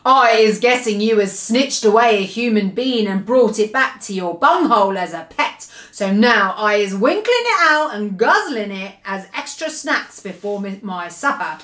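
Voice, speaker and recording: A woman voicing a huge, bullying male man-eating giant in a children's storybook, with booming, taunting shouts in an echoey room. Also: animated, normal speed, few pauses, close to an everyday voice. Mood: menacing. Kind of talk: children's storybook read-aloud character voice.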